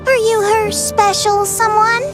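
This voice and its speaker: A woman's voice: extremely high pitch, level tone, measured pace, over music. A woman voicing a cheeky, boastful young girl adventurer in a video game, teasing and self-assured. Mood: teasing, eager. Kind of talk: video game character dialogue.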